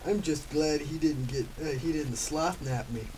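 A male performer voicing a polite, grumbling sloth, reading a little haltingly and mock-formally in an amateur radio comedy. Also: fairly high pitch, a little mellow, close to an everyday voice. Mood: matter-of-fact. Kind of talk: amateur old-time radio comedy drama. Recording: distant mic with faint background noise.

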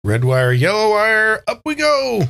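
A man's voice: high-pitched, wildly swooping pitch, measured pace, creaky, fairly bright tone, clean tone. A man playing a tabletop role-playing game, improvising jokey lines. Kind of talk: joking in-character improvisation.

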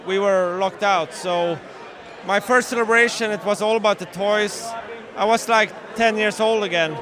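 A man from Sweden, a toy collector, chatting as a guest with some halting restarts. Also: high voice, steady tone, a crowd in the background. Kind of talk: casual chat. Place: busy hotel bar. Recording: crowded bar background.

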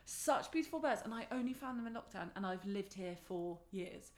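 A woman's voice: medium pitch, expressive, brisk, darkish tone. A young woman, chatting enthusiastically as a podcast guest, often restarting her sentences. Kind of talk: casual conversation.

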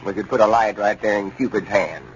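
An actor playing a proud, touchy older country storekeeper with a folksy rural Arkansas drawl in a 1930s radio comedy. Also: male voice, on the low side, brisk, lightly acted. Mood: proud, hopeful. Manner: folksy, self-important drawl. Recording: muffled, noisy 1930s radio recording.

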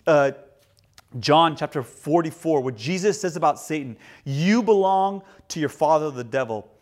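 A young adult man preaching a sermon as a pastor, telling stories with emphasis and swinging between loud lines and hushed ones. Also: a little high. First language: English.

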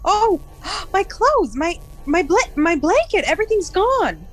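A woman playing a flustered, embarrassed space colonist in a classic radio play, breathless and halting. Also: extremely high pitch, sing-song, soft background music, heavily acted. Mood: alarmed.

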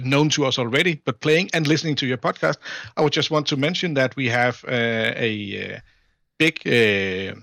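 A man from Denmark with a Danish accent, chatting casually as a podcast guest in a halting way, repeating words. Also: medium pitch, vocal fry, sharp tone, dry recording, studio quality. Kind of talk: casual chat.

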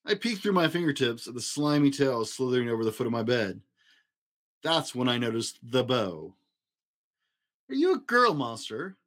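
A man narrating a children's picture book in an expressive storytelling style. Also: mid-range voice, moderate pace. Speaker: storybook reader.

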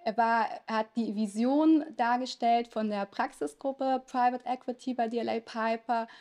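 A woman in her thirties who grew up in Lower Saxony speaking Italian first, answering interview questions as a lawyer in a chatty way with frequent hesitation fillers. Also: steady tone, moderate pace, a little mellow. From Lower Saxony, Germany. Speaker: lawyer, podcast guest.

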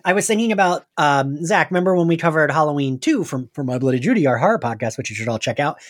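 A man chats as a podcast co-host with a very lively, swooping delivery. His talk is casual and wordy, full of "like", and he often slips into animated quoting. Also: fairly high pitch, fast. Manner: chatty, frequent "like", animated quoting. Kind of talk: casual pop-culture podcast chat.

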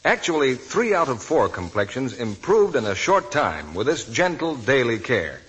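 A man announcing scripted 1940s radio advertising in the measured manner of a salesman. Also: medium pitch, sing-song, fairly bright tone, slightly rough, low-quality recording.

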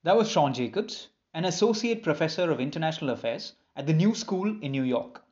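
A man narrating a documentary script in an even, informative way. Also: on the high side, brisk. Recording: dull lo-fi sound.

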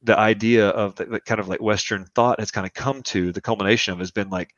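A man's voice: lowish voice, steady tone, fast, fairly bright tone, no echo. A man in his thirties, a podcast guest, talking in a casual, emphatic and rambling way and giving strong opinions. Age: 30s. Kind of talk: casual conversational podcast chat. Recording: dull, dry lo-fi podcast audio.

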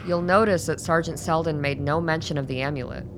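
An actress playing a cool, guarded doctor, formal and clinical, in a radio play. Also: female voice, sits low, fairly even intonation, fairly quick, background noise, lightly acted. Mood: cool, controlled. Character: cool, guarded female doctor with hidden agenda.

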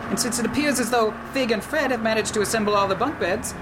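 A man playing an earnest, excitable, sweetly fussy band leader in a comedic radio play, theatrical and exclamatory. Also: sits high, fast, noisy background, lightly acted. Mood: upbeat. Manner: theatrical, exclamatory, talks to himself.

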